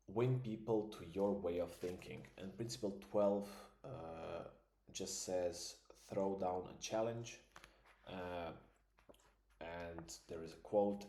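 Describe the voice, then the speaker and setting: Low, slow man. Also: darkish tone, reverberant, a little hiss or hum. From Croatia. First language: Croatian. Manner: loose and thinking aloud, heavy with fillers. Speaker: vlogger. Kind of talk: casual reflective monologue.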